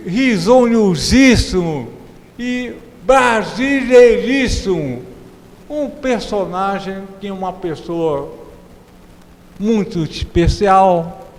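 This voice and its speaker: A man with a Brazilian accent and a notably high voice hosts a conversational interview. He speaks slowly and playfully, with emphatic, drawn-out phrases. The sound is very reverberant, like a large hall. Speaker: talk show host. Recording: reverberant hall, clear background noise.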